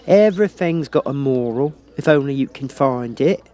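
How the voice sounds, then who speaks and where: A man performs an old storybook Duchess, pitched up and swooping widely. She is cloying and pompous, forever moralizing, and stretches out her exclamations with clingy affection. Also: lively intonation, normal speed, mellow, natural voice. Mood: affectionate. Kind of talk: audiobook character voice.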